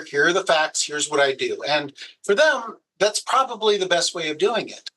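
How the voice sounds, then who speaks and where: A man's voice: on the high side, bright, forceful tone, poor audio. A man, a video producer, giving easygoing, practical advice in a casual conversation.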